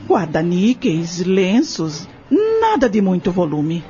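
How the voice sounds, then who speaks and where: A woman's voice: on the low side, sing-song, takes it slowly, warm tone. A woman with a Brazilian accent playing a composed farm wife who answers politely and firmly as a witness in an audio drama. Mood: polite, assured. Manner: polite, firm, formal answers. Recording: dull audio with music underneath.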